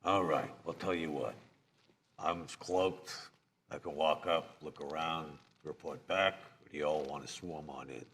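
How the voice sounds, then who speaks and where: A man's voice: a bass voice, fairly even intonation, unhurried, fairly bright tone. A man playing a tabletop game live, describing his character's actions and speaking for him in a terse, laconic way. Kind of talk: in-character role-play, narrating own actions. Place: live stage at a gaming convention. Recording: noisy live convention recording.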